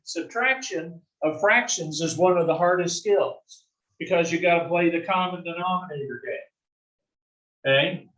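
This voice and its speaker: A man's voice: high-pitched, a bit bright. A man from the south-central US teaching an algebra class, folksy and fond of corny jokes, often checking in with "right?". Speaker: algebra instructor. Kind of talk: classroom lecture. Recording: echoey classroom, distant mic.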